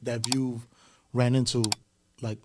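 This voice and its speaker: Casting: male interviewer, medium pitch, informal street-slang phrasing, meandering conversational questions. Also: completely flat delivery, takes it slowly, background noise.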